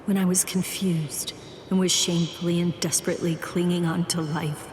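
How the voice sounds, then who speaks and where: An actress playing a condemned young woman warrior in an anime game, selfless and resigned, with a solemn, deliberate manner. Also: lowish voice, little pitch movement, a little hiss or hum, close to an everyday voice. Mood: wistful, inward. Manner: solemn, deliberate, softly resolute. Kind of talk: scripted anime game dialogue.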